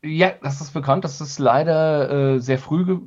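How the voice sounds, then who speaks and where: A man answering interview questions casually, picking his words carefully and haltingly as a producer guest. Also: fairly high pitch, a little mellow. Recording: dull, lo-fi remote sound.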